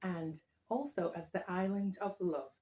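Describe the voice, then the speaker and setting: A woman's voice: lowish voice, a little slow, dark tone. A woman from England hosting a podcast, bubbly and encouraging, prompting her guest with tag questions. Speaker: podcast host. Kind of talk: casual family interview.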